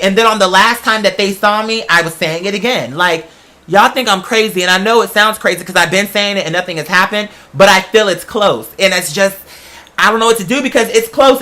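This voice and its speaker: A very bright, forceful voice at a middle pitch tells a personal story conversationally. The speaker is candid and informal, using slang and the odd swear word. Key phrases get repeated for emphasis.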